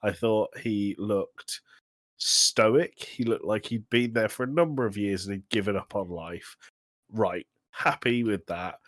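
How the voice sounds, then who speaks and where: A man's voice: fairly low pitch, fairly bright tone, dry recording. A man co-hosting a podcast in casual comedic banter, with a teasing, sweary way of interjecting. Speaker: podcast co-host.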